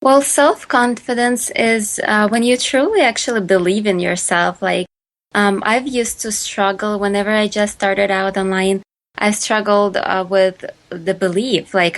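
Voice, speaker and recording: Ukrainian-accented woman, halting podcast guest. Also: medium pitch. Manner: hesitant, many fillers and restarts. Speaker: guest entrepreneur. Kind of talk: podcast interview answers.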